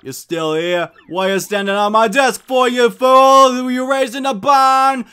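A man voicing a gruff, bossy mob boss and sentimental father in a cartoonish game, talking in blustering wiseguy slang. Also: very high voice, steady tone, a little slow, bright, forceful tone, voice pushed up, heavily acted. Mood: gruff, dismissive.

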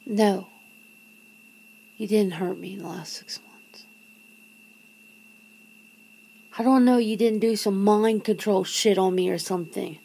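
A woman playing a wary, wry human confronting a vampire lover in an ASMR roleplay, breathy and often slipping into a whisper, hesitant and casual. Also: medium pitch, moderate pace, mellow. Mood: agitated, hurt. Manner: hesitant, sardonic, casual, sighing. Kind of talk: ASMR roleplay, half-whispered one-sided dialogue. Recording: noticeable background noise and ambience.

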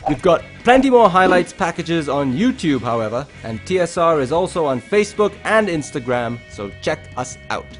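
A man presenting a sports highlights show in a scripted voice-over, with an emphatic, measured delivery. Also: sing-song. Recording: music under the voice. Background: background music.

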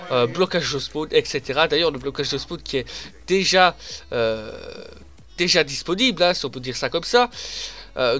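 A man's voice: a little high, a bit bright. A young man with a head cold and a blocked nose, chatting emphatically over a video game as he comments on his own play. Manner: chatty, emphatic, addresses viewers as "les gars". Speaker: gaming commentator. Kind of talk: casual voice-over commentary over gameplay.

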